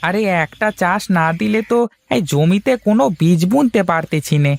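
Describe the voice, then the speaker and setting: Man playing a pleading, high-voiced village farmer. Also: expressive, normal speed, creaky. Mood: worried. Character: pleading, wheedling village farmer needing help. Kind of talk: Bengali moral cartoon dubbing.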